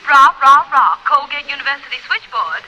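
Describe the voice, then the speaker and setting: A man's voice: very high voice, lively intonation, sharp tone, a little hiss or hum, heavily acted, rough recording. A peppy, cheering switchboard operator. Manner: cheerleading chant, brisk. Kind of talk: 1930s radio comedy acting.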